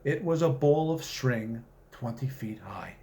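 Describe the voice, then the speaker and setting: A man speaking as an author presenting and reading his own short story, with measured, deliberate phrasing. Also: medium pitch, lively intonation, mellow. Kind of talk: story reading with spoken introduction.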